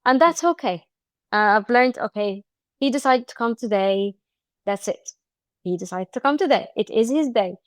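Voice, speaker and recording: A woman's voice: a little high, animated, normal speed, no room sound, clean studio sound. A woman from Egypt with an Egyptian accent, a podcast guest speaking in casual interview chat with frequent restarts and fillers.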